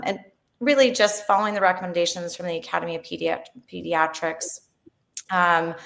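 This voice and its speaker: A woman's voice: lowish voice, vocal fry, fairly bright tone. A woman in her forties, a dietitian and founder, speaking earnestly on a webinar panel. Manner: earnest, enthusiastic, with fillers. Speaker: registered dietitian, company founder.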